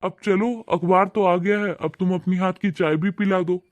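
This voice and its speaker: A man's voice: high voice, steady tone, moderate pace, no echo, dull audio, close to an everyday voice. Vivek, a man voicing a kind, preachy husband. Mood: earnest. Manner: earnest, lecturing. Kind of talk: cartoon voice acting.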